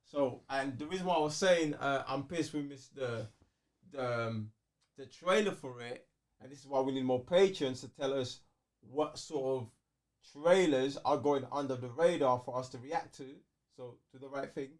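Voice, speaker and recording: A man's voice: medium pitch, moderate pace. A man with a London accent chatting casually as a podcast co-host, slangy and repeating phrases for emphasis. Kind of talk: casual banter.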